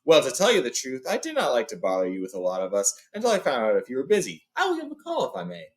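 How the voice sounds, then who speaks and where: A man's voice: medium pitch, huge pitch swings, fast talker. A man voicing a courteous, authoritative old wizard in a storybook, speaking formally and with emphasis. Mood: placating. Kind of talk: storybook read-aloud character.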